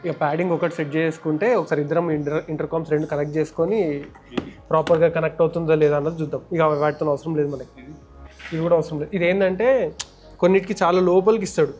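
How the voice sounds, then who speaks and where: A man's voice: a little high, fairly even intonation, rapid-fire, a little mellow, faint music behind. A man with a Telangana Telugu accent explains step by step in a casual, conversational hands-on tutorial as a vlog host. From India. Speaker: motovlogger and host.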